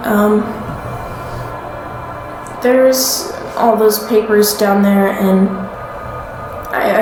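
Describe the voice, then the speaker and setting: A woman playing an uneasy young woman in a naturalistic fiction podcast, speaking haltingly and trailing off. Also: mid-range voice. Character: hesitant, uneasy young woman living in a strange house. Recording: roomy echo, music underneath. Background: music.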